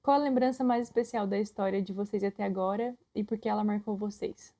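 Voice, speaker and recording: Mellow young Brazilian woman storyteller. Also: medium pitch, fast talker. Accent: Brazilian Portuguese. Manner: lively storyteller, finishes her partner's sentences. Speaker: podcast co-host. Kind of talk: casual couple conversation.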